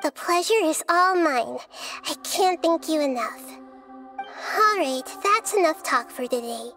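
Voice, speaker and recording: Young goddess of wisdom for an anime game: high, kind, thoughtful, articulate, over music. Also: female voice, expressive. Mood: tender. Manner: measured, reflective, articulate. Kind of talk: anime-style video game voice acting.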